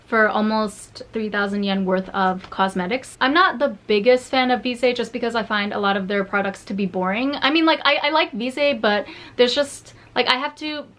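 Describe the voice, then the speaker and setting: A woman vlogging a casual beauty haul from home, chatty and rambling with many fillers and restarts, her voice slightly hoarse. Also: fairly high pitch, normal speed, fairly bright tone.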